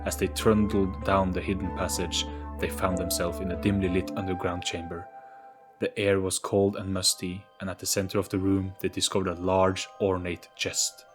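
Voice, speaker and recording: A man's voice: low-pitched, level tone, moderate pace. A man reading a scripted story as the narrator of an audioplay, with music behind him.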